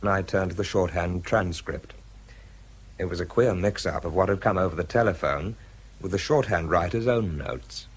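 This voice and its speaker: An actor playing a wry, anxious wartime fuse expert, narrating and speaking in an understated, hesitant way in a radio drama. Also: male voice, low voice, normal speed, breathy edge. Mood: reflective, subdued. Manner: understated, hesitant when challenged.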